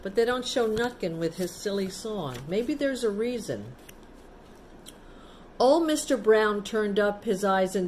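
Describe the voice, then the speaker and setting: A woman reads a children's storybook at a slow, unhurried pace, like a teacher with a young class. She breaks off for chatty asides, simple explanations and questions to the listeners. There is faint noise behind her voice. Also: medium pitch. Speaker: teacher reading a picture book to children.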